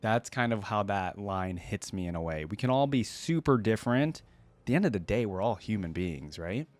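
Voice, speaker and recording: A man's voice: lowish voice, lively intonation, normal speed, faint music behind. A man hosting a reaction video with casual, off-the-cuff commentary, musing aloud as he goes.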